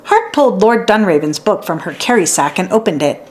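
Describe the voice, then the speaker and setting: A woman narrating a children's story in an expressive read-aloud style for young listeners. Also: mid-range voice, fairly quick. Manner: acts out dialogue and sounds out letters.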